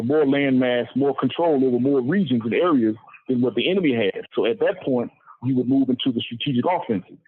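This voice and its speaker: Guy explaining politics off the cuff, kinda raspy, teacherly, lists stuff for emphasis, tinny muffled audio. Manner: didactic, repeats and lists phrases for emphasis. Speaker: political speaker. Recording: muffled low-fidelity radio-like audio.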